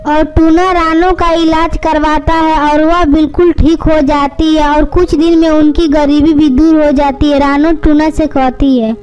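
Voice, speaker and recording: A woman's voice: high-pitched, level tone, unhurried, few pauses, darkish tone, muffled recording. A woman reading scripted narration for a children's cartoon story.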